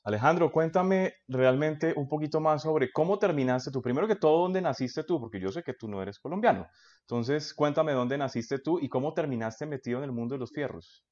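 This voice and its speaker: A man's voice: fairly high pitch, brisk, a little mellow. Man hosting a streamed interview. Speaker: host. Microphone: video call. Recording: slightly dull video call audio.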